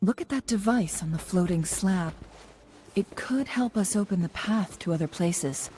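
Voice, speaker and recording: A woman voicing a composed, analytical young female guide in a video game, speaking in a measured, serious, explanatory way. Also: lowish voice, dark tone, faint music behind, lightly acted. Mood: calm, instructive. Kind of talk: scripted video game dialogue.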